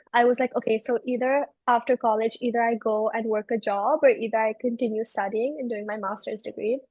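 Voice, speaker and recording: A young woman from Belgium speaks as a guest in an interview. Her voice is on the high side, and she explains things in a conversational way. The sound is very dry, with no room echo. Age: 20s. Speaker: interview guest, research analyst.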